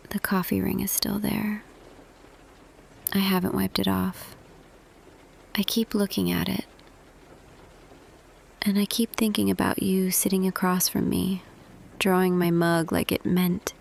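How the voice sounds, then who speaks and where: A woman playing a tender female boss confessing love to an employee in an intimate roleplay audio, hushed and hesitant, slipping between whisper and soft voice. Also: mid-range voice, creaky, a little hiss or hum. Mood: tender, vulnerable.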